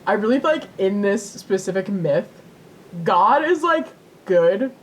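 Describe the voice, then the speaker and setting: A young woman chats casually as a podcast co-host. She is animated and quick to giggle, swearing freely as she tells stories. Her pitch is average, and a faint rumbly noise sits behind her voice. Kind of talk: casual chat, storytelling.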